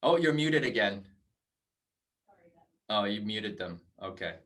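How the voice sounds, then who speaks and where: A man's voice: on the low side, expressive, takes it slowly, a bit bright, distant mic. A friendly man in his forties hosts a casual interview over a video call. He rambles and restarts his sentences. His voice sits a little back from the microphone. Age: 40s. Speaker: host.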